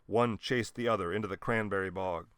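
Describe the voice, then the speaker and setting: A man narrating a children's storybook in an expressive way with dramatic emphasis. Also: fairly low pitch, fairly quick, smooth, no echo, studio quality. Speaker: storybook reader.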